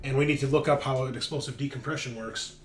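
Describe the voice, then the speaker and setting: A man's voice: mid-range voice, steady tone, quick pace, faint music behind. A man acting as game master, narrating casually and reading out rules with informal, dramatic emphasis. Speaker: game master of a tabletop roleplaying game.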